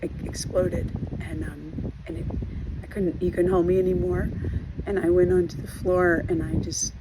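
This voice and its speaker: A hoarse woman giving an earnest personal video update, talking haltingly with many fillers and restarts. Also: lowish voice, little pitch movement, background noise. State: hoarse from losing her voice. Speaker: trauma recovery vlogger.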